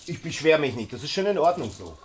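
Eager Austrian village schoolteacher. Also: male voice, on the high side, big pitch swings, fast, a little hiss or hum. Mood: friendly, obliging. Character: eager, chatty village schoolteacher and hobby reporter. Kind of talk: naturalistic radio drama acting.

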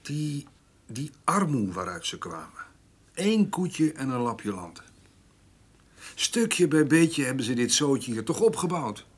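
An actor playing a troubled middle-aged farmer in a radio play, husky, with hesitant, plainspoken speech that often restarts. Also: male voice, medium pitch, big pitch swings, slow, exaggerated voice. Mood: weary, rueful. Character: troubled farmer, rough-spoken, brooding over his mother.

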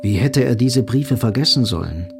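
A man's voice: mid-range voice, lively intonation, moderate pace, creaky. A man reading scripted literary narration as the sober narrator of a crime audio drama. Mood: reflective. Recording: faint music behind narration.

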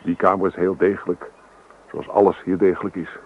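A man's voice: sits low, level tone, brisk. A man playing a carefree, teasing young suitor in a Dutch radio drama, coaxing and nonchalant. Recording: muffled radio recording, buzzy noise.

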